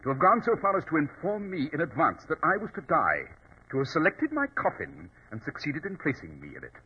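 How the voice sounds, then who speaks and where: An actor playing a self-assured, dryly superior detective, speaking precisely in 1940s radio drama style. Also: male voice, on the high side, huge pitch swings, fairly quick, a little hiss or hum. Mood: dry defiance. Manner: precise, explanatory, theatrical. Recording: tinny old radio recording.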